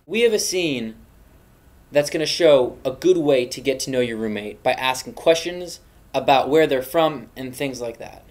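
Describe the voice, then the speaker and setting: A man's voice: mid-range voice, moderate pace, a little mellow. A young man hosting a mock safety video, giving solemn, preachy advice in an earnest scripted narration. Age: young adult. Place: dorm room indoors. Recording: echoey room.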